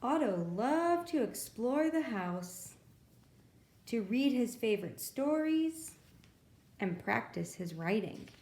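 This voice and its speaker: Woman, higher-pitched voice, unhurried, expressive children's storytime narration, asides to little listeners. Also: reverberant. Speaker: children's librarian reading stories. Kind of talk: read-aloud picture book narration for preschoolers.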